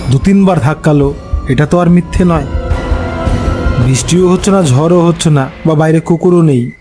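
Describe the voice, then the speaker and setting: A man narrating a scripted horror story in a dramatic, suspenseful way, pausing between short phrases. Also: fairly high pitch. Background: background music.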